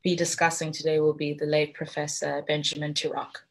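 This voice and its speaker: A young woman student from Cape Town introducing herself softly on a video call. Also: low voice, monotone, a little fast. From Cape Town, South Africa. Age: young adult. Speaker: student panelist.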